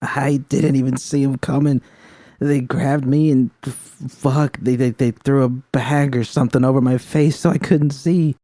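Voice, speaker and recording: A man's voice: medium pitch, steady tone, a little slow, mellow, dry recording, pitched above the performer's own voice, natural voice. A man voicing a panicked young female mercenary who stammers, swears and pleads through sobs in an audiobook story. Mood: shaken, sobbing. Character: young street mercenary woman, sobbing, panicked, desperate, foul-mouthed.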